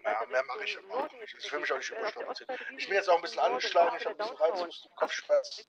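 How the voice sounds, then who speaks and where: A man's voice: sits high, very fast, vocal fry, a loud crowd. A man playing a police sergeant in a game, chatting casually and laughing easily. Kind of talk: casual roleplay chat in a game.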